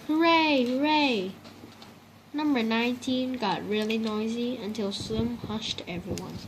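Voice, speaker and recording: High-voiced woman reading a children's story. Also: normal speed. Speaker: storybook reader. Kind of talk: read-aloud children's story narration. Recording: clear steady background noise.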